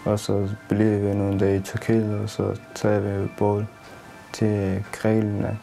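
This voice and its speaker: A young man from Afghanistan speaks haltingly in a low, very flat voice. His voice is gravelly and dark. Soft music plays behind him. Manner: halting, plain phrasing. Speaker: young refugee interviewee.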